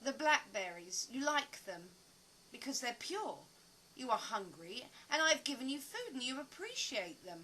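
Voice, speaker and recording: A woman's voice: high voice, very animated, measured pace, sharp tone, a little hiss or hum, heavily acted, poor audio. An actress playing an earnest, philosophical woman survivor in an audio play, with reflective, dramatic phrasing. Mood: earnest, intense.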